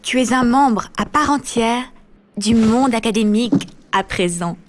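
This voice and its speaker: Actress doing sweet teasing young woman for a game cutscene, highish voice, takes her time, faint noise. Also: unhurried, close to an everyday voice. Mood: tender. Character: affectionate, teasing young woman, warm and encouraging. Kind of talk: video game cutscene voice acting.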